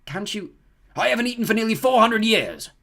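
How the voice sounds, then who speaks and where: A man's voice: sits high, big pitch swings, a little fast, vocal fry, sharp tone, voice pushed up, exaggerated voice. A man voicing a centuries-old male ghost who is genteel, stiff and easily miffed. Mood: wistful.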